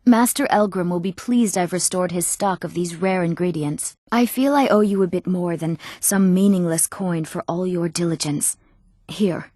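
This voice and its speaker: A woman's voice: on the low side, normal speed, dark tone, no echo, clean studio sound, close to an everyday voice. An actress playing an eager, earnest young townswoman in a fantasy video game, speaking in an articulate, well-spoken way. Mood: businesslike, informative. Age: young adult.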